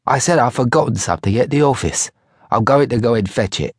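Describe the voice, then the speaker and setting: A man voicing a testy, proud, absent-minded English professor with a husky Cockney accent, in an audiobook story. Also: medium pitch, expressive, a little fast, dry recording, clean studio sound, lightly acted. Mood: calm, insistent.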